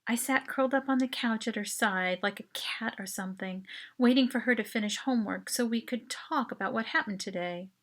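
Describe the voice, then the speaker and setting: A woman reading a first-person short story as its author, in an expressive, dramatic narration style. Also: on the high side, brisk.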